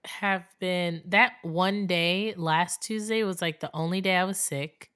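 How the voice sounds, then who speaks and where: A young woman, hoarse today, co-hosting a casual chat podcast and laughing often between stories. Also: lowish voice, fairly even intonation, unhurried, fairly bright tone, dry recording, studio quality. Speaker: podcast co-host.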